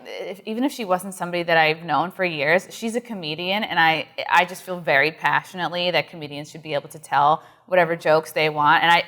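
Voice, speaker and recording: Woman comic, earnest and fired up, lots of likes and ums, lowish voice. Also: a bit bright. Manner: earnest, passionate, many fillers. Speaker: comedian guest. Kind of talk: interview conversation.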